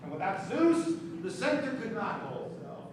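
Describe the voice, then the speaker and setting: An actor playing a mocking god of satire in a stage play, speaking in a grandiose, sardonic, declamatory way. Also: male voice, sits high, very animated, normal speed, a crowd in the background. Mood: mocking, grave. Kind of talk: projected live stage play acting. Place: theater stage, recorded from afar. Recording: distant, echoey theater recording with background noise.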